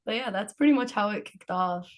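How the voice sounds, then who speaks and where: A woman's voice: mid-range voice, animated, fairly quick. A woman from Palestine speaking as a podcast guest, telling personal stories in a casual, informal way. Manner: informal, frequent laughter. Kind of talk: casual conversational storytelling.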